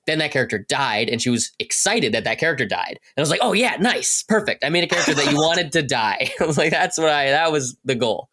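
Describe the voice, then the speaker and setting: A man's voice: a little high, lively intonation, fast, hardly any pauses, sharp tone. A man chatting casually as a podcast cast member, retelling conversations with quoted asides. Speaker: podcast cast member discussing their show.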